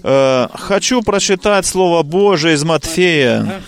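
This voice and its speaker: A man with a noticeably high voice carries a sermon across in live interpretation. He speaks haltingly, breaking off and restarting his phrases as he catches up with the preacher. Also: expressive.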